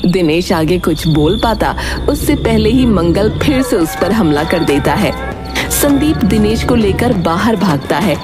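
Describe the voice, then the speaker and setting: A woman's voice: medium pitch, animated, a little slow. A woman narrating a horror cartoon story in a dramatic storytelling manner. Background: loud music.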